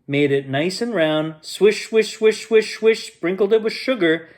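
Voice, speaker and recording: A man's voice: high-pitched, a little slow. A man hosting a bedtime story, reading to children expressively and playfully. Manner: expressive, with playful repeated sound effects.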